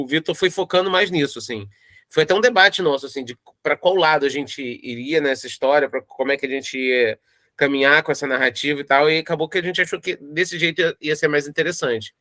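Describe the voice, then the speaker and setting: A Brazilian man speaks in a casual interview, with a bright, forceful voice pitched a little high. He thinks aloud and circles back over his words. The sound is dry and close, with little room to it. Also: dry recording. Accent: Brazilian Portuguese. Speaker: audio drama creator discussing his project.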